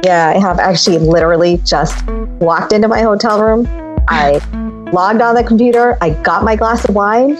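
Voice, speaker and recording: A lively woman in her forties, a guest who tells her stories with candor and plenty of laughter. Her voice sits at an ordinary middle pitch, with faint music under it. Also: normal speed. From Boston, USA. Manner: lively, laughs often, acts out dialogue. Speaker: interview guest, interior designer. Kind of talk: casual candid chat.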